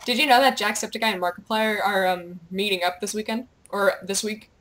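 A young woman chatting casually while gaming, excitable and playful, with goofy exclamations and bits of on-screen text read aloud. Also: mid-range voice, brisk. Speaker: gamer, video creator. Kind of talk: casual gameplay chat, reading quest captions aloud.

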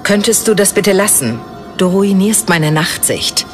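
A woman playing a tough, sharp-tongued archer with a dry, curt manner in an audiobook drama. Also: medium pitch, animated, measured pace, over music, lightly acted. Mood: dry, teasing.

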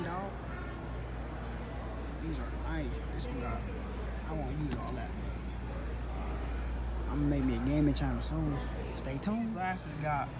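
An American man in his twenties chatting casually and in slang as he vlogs into a phone at arm's length. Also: a little high. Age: 20s. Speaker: vlogger. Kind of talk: casual vlog chat, slangy. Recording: noisy outdoor, car and store ambience.

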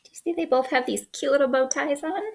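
A woman in her thirties hosting a children's story time, reading aloud in an expressive, sing-song way at home. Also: sits high, fast talker, creaky, a little hiss or hum. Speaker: children's librarian, storytime host. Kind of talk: picture book read-aloud for young children. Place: small living room indoors.

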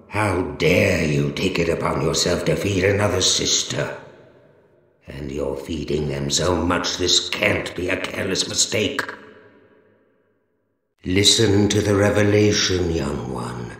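Cavernous, extremely deep gravelly monster zealot. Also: male voice, a bit bright, heavily acted. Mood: stern, preaching. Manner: pompous, accusing, preaching in archaic, measured phrases. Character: huge deformed zealous monster keeper of heaven, stern and menacing. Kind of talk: video game character voice lines, solemn dramatic monologue. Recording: cavernous echo with long reverb tail.

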